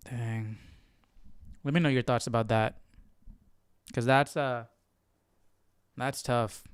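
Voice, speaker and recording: A man hosts a study livestream in a casual, reflective way. His mid-range voice is smooth and clear, recorded very dry. He often questions things as he thinks aloud. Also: medium pitch, steady tone, takes it slowly, dry recording. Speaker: Bible study livestream host.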